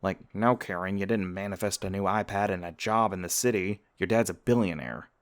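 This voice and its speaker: A man's voice: deep voice, brisk, lightly acted. A man playing a friendly, teasing vampire university student and tour guide in a casual, conversational ASMR roleplay. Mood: wry, salty. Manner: casual, conversational, gently joking. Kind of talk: ASMR roleplay acting, casual chat to listener.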